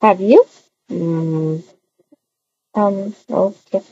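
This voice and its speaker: A woman improvising roleplay dialogue for a video game in a hesitant, halting way with many hums. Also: mid-range voice, warm tone. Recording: dull muffled audio, faint noise.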